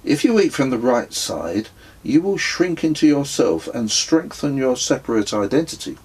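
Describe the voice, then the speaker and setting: A man's voice: mid-range voice, big pitch swings, normal speed, darkish tone, breathy edge, voice pushed up. A man voicing a playful English father in a storybook, politely mock-solemn and theatrical.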